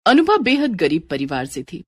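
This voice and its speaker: A woman's voice: lowish voice, sing-song, moderate pace, noisy background. A woman reading a scripted story as its narrator.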